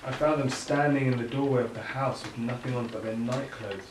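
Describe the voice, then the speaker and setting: A man plays an earnest father given to reflective speeches in an audio drama. His medium-pitched voice sits away from the microphone in a roomy space. Clear background noise surrounds him. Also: steady tone, a little fast, natural voice. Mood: anxious. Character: earnest father and official facing climate upheaval, anxious yet hopeful. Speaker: actor in an audio play. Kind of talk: naturalistic audio drama monologue. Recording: roomy, distant, noisy room recording.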